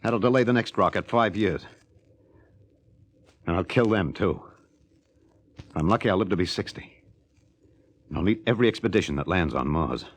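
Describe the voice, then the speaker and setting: An actor with an American accent playing a bitter, disillusioned astronaut turned killer in a 1950s radio drama, intense and halting. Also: male voice, sits low, lively intonation, breathy edge, exaggerated voice. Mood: angry, resolute. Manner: intense, halting, broods aloud. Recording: old 1950 radio recording.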